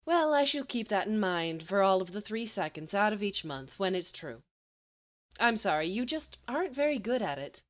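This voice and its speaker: A woman's voice: mid-range voice, very animated, moderate pace, lightly acted. A woman reading the part of a wry, affectionately bossy teenage girl in a storybook, teasing and mildly dry.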